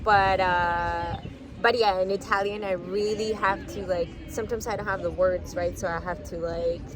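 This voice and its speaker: A woman's voice: mid-range voice, steady tone, moderate pace, crowd noise. A young woman whose first language is Spanish, hosting a casual interview and talking loosely with lots of fillers. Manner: chatty, many fillers like "like" and "you know". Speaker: podcast host.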